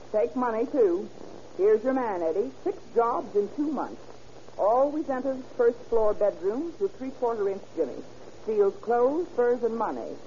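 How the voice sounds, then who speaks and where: A muffled old radio recording with faint noise carries a confident American woman records clerk. Her pitch swings lively over a mellow tone, and she explains briskly, like a born detective. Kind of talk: old-time American radio drama acting.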